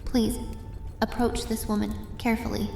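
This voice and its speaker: A determined, earnest young witch from a fantasy audio drama, acted by a woman. Her grave, somewhat high voice echoes through a chamber with music underneath. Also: flat delivery, moderate pace, creaky, mellow. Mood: calm authority. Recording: echoing chamber reverb, music behind.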